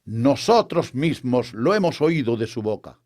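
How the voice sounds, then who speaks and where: A man's voice: mid-range voice, sing-song, measured pace, darkish tone. A man playing insistent, indignant biblical men in a dramatized scripture reading, with a declamatory manner. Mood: accusing. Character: insistent, indignant men: denying disciple and priestly accusers.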